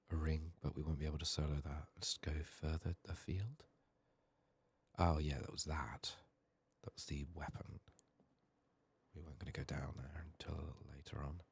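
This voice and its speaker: A man with a sick, strained voice murmuring soft-spoken ASMR gaming commentary, sometimes near a whisper, thinking aloud close to the mic. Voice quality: husky, gravelly, very low. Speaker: game streamer making a playthrough.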